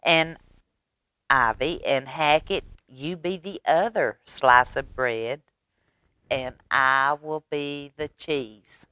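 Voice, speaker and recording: A loving, playful mama from a storybook, read by a woman. She speaks very slowly in a low, smooth voice, drawing words out with gentle, folksy teasing. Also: no room sound, full character voice.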